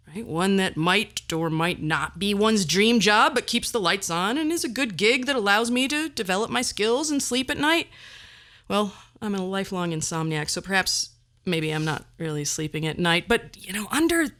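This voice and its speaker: A woman's voice: medium pitch, a bit bright. A middle-aged woman hosting a podcast, delivering a personal monologue in a wry, self-deprecating and theatrically emphatic way. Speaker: podcast host.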